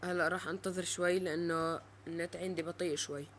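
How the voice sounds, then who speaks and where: A male presenter giving an informal, halting step-by-step app tutorial. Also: on the high side, level tone, fairly bright tone, slight background noise.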